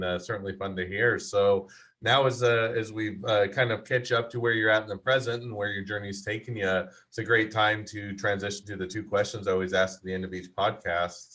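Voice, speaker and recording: A male podcast host with a somewhat low voice. He speaks in a measured, friendly way. He moves the interview along with set questions. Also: fairly bright tone.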